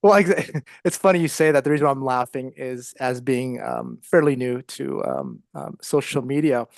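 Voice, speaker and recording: A middle-aged man with an American accent, speaking conversationally as an interview guest, with halting, um-filled phrasing. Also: mid-range voice, vocal fry, no echo, studio quality. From Ohio, United States. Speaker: interview guest, psychologist and author.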